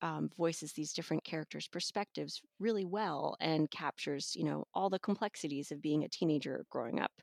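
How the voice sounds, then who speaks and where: A woman's voice: deep voice, normal speed, gravelly, few pauses, no room sound, clean studio sound. A woman reviewer talking casually and conversationally as she discusses a book as a guest. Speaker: audiobook reviewer and podcast guest.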